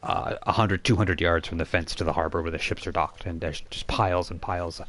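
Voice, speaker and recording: Male game master, low register, improvised informal narration, halting explanatory delivery. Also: fairly even intonation, fairly bright tone, a little hiss or hum. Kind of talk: improvised narration of a tabletop role-playing game.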